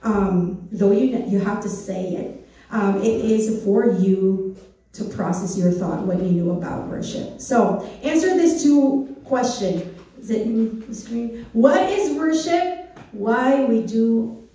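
A woman's voice: a little high, lively intonation, slow pace, dark tone. A woman, giving a chatty church message on a small stage and asking the audience questions. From Philippines. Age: 30s. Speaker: church speaker. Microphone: handheld mic, recorded from far back. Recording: echoey hall, distant phone camera.

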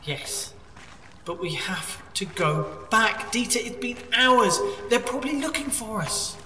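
A man's voice: sits high, lively intonation, measured pace, sharp tone, heavily acted. A man playing a cautious, reluctant cave explorer in an audio drama, anxious, hesitant and stammering. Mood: pleading. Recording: echoey cave-like reverb, distant, faint noise.

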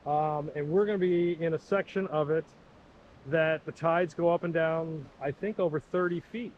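Middle-aged male hiking vlogger, fairly high voice, rambling and curious, dull lo-fi outdoor sound. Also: fairly even intonation, moderate pace, darkish tone, a little hiss or hum. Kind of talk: casual walking commentary. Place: rocky seaside trail outdoors. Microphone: 360 camera on a pole.

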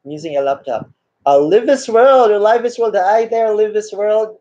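A man's voice: high-pitched, moderate pace, mellow. A man, casually chatting with viewers on a live stream, reading comments aloud and greeting people by name. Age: 30s. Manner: friendly, greets viewers by name, reads chat aloud, laughs often. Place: small room indoors. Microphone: laptop webcam mic. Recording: dull lo-fi laptop sound.

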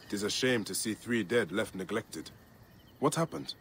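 An actor playing a grave, steady Egyptian warrior and father who speaks in a calm, measured, formal way. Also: fairly low pitch, a little hiss or hum. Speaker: Medjay protector.

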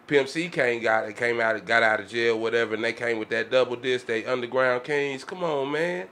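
A man talks casually into a podcast mic. His voice is bright and forceful, at an ordinary pitch. He speaks emphatically, circling back to repeat his phrases. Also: moderate pace. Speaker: podcast panelist. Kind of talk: casual chat. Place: small podcast room. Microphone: studio mic on boom arm.

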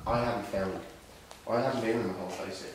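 A man's voice: on the low side, steady tone, fast. Man chatting in a very echoey empty building. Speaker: urban explorer. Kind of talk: casual chat while exploring. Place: inside an abandoned hospital building. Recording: echoey empty building, distant mic, noisy.

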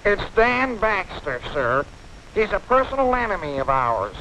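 A high-voiced, hapless young pilot. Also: male voice, very animated, unhurried, bright, forceful tone, a little hiss or hum, heavily acted. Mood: sheepish. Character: hapless, panicky young male rookie pilot. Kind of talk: 1940s training cartoon acting. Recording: muffled 1940s film soundtrack with music.

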